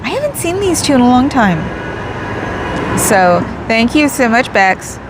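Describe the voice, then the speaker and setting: A woman's voice: fairly high pitch, very animated, measured pace, background noise. A woman chatting casually on a livestream, thanking viewers by name and laughing often. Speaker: livestream host. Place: in a parked car outdoors.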